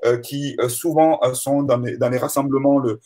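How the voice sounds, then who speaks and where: Husky-voiced man in his forties. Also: medium pitch, fairly even intonation, moderate pace, warm tone. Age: 40s. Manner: long winding questions, searching for words. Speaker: interviewer.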